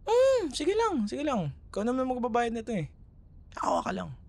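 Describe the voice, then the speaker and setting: A man's voice: very high voice, huge pitch swings, normal speed, creaky, a little mellow, exaggerated voice. A man with a Filipino accent acting a friendly, teasing ex-boyfriend in an intimate, casual audio roleplay, sometimes hesitant in his phrasing. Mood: playful, wistful. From Philippines.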